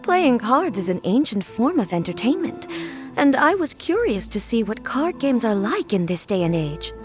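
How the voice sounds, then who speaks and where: A woman voicing a calm, dignified goddess who rules a nation in a video game, speaking in a measured, formal way. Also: fairly high pitch. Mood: composed. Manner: measured, stately, gracious. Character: calm, dignified female deity ruler, composed and formal. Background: game music.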